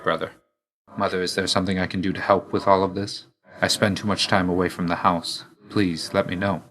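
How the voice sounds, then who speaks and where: A deep-voiced god of death for a video game. He is dutiful and reserved, with a measured, formal delivery. His manner stays understated, weary yet quietly fond. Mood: subdued, earnest. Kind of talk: video game character voice lines.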